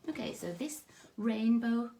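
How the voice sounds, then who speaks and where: A woman's voice: a little high, animated, unhurried, vocal fry, dark tone. A woman with a scratchy sore throat, hosting a casual live craft tutorial at her desk and greeting viewers by name. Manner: chatty, greets viewers by name, self-deprecating. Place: craft desk indoors, overhead camera on hands.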